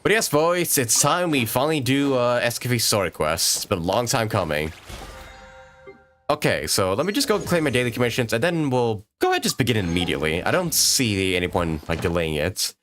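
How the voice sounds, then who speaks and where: A man's voice: medium pitch, very animated, a little fast, a bit bright. A man chatting casually while livestreaming a video game, hesitant and rambling as he thinks aloud. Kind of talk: casual gaming livestream chat. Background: video game music.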